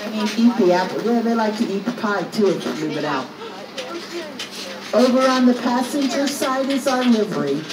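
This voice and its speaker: A woman's voice: a little high, expressive, moderate pace. Distant woman guiding a tram tour. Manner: upbeat, jokey, quizzes riders. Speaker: tour guide. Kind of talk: guided tour narration. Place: open-air tram ride outdoors. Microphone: recorder's phone, guide some distance away. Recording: distant echoey voice over loud outdoor noise.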